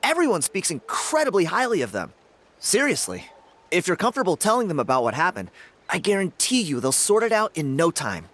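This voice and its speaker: A man's voice: sits high, huge pitch swings, fairly quick, a bit bright, a little hiss or hum, heavily acted. An actor playing a friendly, enthusiastic young tribesman in a video game, with an emphatic, effusive manner.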